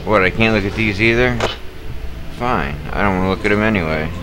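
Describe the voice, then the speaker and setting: A man giving casual gameplay commentary, muttering and swearing with sudden yells at the game. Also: on the low side, fairly quick, background noise. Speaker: gaming video creator. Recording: loud game music behind the voice.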